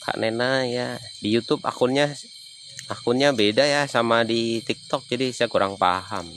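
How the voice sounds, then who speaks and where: A man's voice: mid-range voice, normal speed, fairly bright tone, a little hiss or hum. A man from Banyumas with a Javanese accent, chatting casually on a livestream and answering viewers' comments. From Banyumas, Central Java. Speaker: livestreaming explorer.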